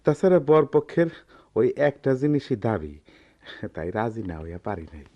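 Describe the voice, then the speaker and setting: A man's voice: medium pitch, very animated, moderate pace, warm tone, natural voice. Man acting humble, worried village goldsmith father. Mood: pleading. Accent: Bangladeshi rural dialect. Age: middle-aged. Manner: deferential, pleading. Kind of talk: Bengali radio drama acting.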